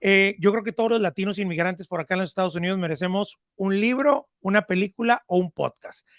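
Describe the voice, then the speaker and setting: A man's voice: high voice, fairly quick, fairly bright tone, no echo, studio quality. A man hosting a casual interview, chatty and informal, putting questions to his guest. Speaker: podcast host, interviewer.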